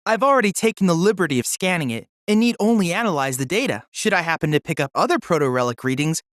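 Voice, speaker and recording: Woman doing a boyish android helper for a game, super polite n formal, bookish, lowish pitch, really lively intonation, dry sound. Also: quick pace, no echo, close to an everyday voice. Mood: helpful, informative. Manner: formal, precise, courteous, bookish phrasing. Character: polite, eager boyish android research assistant. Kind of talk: scripted video game voice lines.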